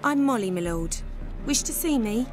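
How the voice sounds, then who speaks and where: A woman's voice: on the high side, expressive, normal speed, music in the background, natural voice. A woman with a British accent playing a naive, chatty, lovestruck young maidservant in a video game. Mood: awed, excited. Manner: chatty, wide-eyed, rustic grammar.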